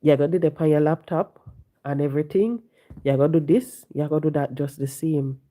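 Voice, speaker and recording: A woman, hoarse and congested from the flu, talking on a casual live stream, preaching and repeating her points to viewers from home. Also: low-pitched, steady tone, fairly quick, mellow. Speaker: livestream host. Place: home, sitting.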